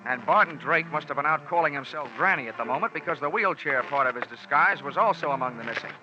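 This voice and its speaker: A man narrating and acting a hard-boiled insurance investigator in a 1950s radio drama, wisecracking and dry. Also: on the high side. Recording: dull lo-fi old radio recording with music.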